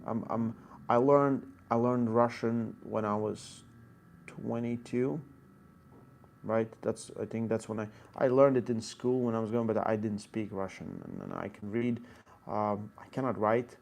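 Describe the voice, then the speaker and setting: A man's voice: lowish voice, fairly even intonation, unhurried, gravelly, a little mellow. A man with a Latvian accent, an arm wrestling coach answering viewer questions in a live Q&A, explaining technique in short bursts and reading chat questions aloud.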